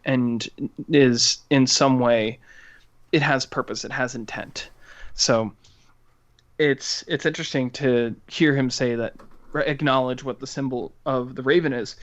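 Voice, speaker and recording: Male podcast co-host, mid-pitched, informal and halting, discussing books casually on dull-sounding audio. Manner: informal, halting delivery with many "like"s. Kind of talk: casual discussion of art and literature.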